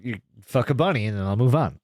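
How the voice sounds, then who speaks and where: Man, mid-pitched voice, very animated, casual podcast storytelling, rambling, sweary. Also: fast, no room sound. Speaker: podcast co-host. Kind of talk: casual podcast recap, retelling a video's story.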